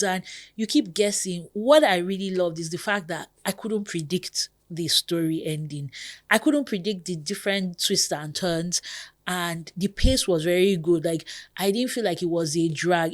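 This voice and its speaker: A woman in her thirties from Nigeria with a Nigerian accent, hosting a podcast in a chatty, expressive way. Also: on the low side. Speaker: podcast host. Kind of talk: casual podcast monologue.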